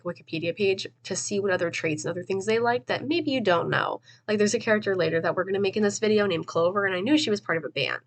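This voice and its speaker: A woman's voice: medium pitch, fairly bright tone. A young woman with a stuffy, slightly nasal voice, casually talking through a game build as a chatty voice-over host. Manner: chatty and rambling, with fillers and laughs. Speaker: gaming video host. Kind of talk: casual voice-over gameplay commentary.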